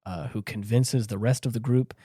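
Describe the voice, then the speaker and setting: A man's voice: mid-range voice, fairly even intonation, moderate pace, dark tone, breathy edge, no room sound. Male podcast host. Conversational and earnest, leans hard on repeated phrases, dry recording. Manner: emphatic, earnest, repeats himself for stress. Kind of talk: casual discussion of a story.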